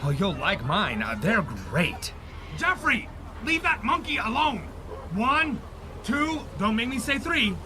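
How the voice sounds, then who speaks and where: An actor playing an awkward, harried, overeager divorced dad on a date in a radio play. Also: male voice, sits high, very animated, slow, music in the background, heavily acted. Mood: scolding. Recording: animal noises behind the voice. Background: zoo animal ambience.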